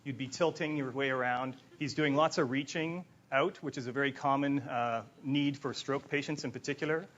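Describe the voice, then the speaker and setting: A man's voice: mid-range voice, steady tone, moderate pace, few pauses, a bit bright, dull audio. A man explaining a product as a guest during a conversational demonstration. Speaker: company co-founder, guest demonstrating a system. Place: TV studio stage.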